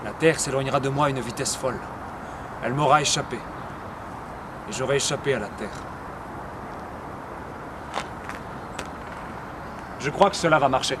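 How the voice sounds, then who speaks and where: A man acting a slightly mad man in a theatre monologue, reasoning earnestly and deliberately, like a pedant reciting calculations. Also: mid-range voice, lightly acted. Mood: resolute, fervent. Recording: echoey room, distant mic, faint noise.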